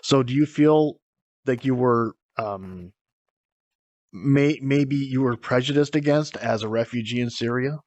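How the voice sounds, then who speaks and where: A man's voice: medium pitch, measured pace, darkish tone, no echo, highs cut off. An American man hosting a casual interview, often repeating words and restarting his sentences. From United States. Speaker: host.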